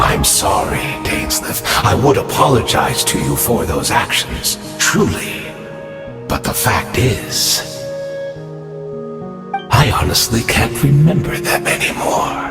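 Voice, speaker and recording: An actor playing an ancient fallen knight in a video game, weary and sardonic, speaking theatrically with halting pauses. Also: male voice, fairly low pitch, gravelly, full character voice. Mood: weary, regretful. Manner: slow, theatrical, pausing mid-sentence. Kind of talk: dramatic video game voice acting. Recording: reverberant game audio under music.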